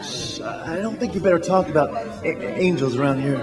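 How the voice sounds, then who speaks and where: A man's voice: on the high side, fairly quick, natural voice. A man playing an agitated, bewildered family man in a radio drama, stammering and repeating his words in a folksy way. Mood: coaxing. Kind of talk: old-time radio drama acting. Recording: long hall reverb, music behind.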